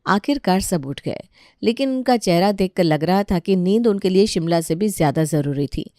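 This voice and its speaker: A woman's voice: fairly low pitch, lively intonation, moderate pace, dark tone, no room sound. Woman narrating a story, dry close sound. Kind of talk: scripted Hindi story narration.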